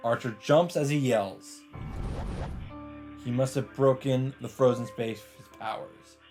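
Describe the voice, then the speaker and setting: A man's voice: medium pitch, unhurried. Man narrating dramatically over game music. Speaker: let's play host reading a visual novel aloud. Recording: loud game music behind voice. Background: visual novel soundtrack.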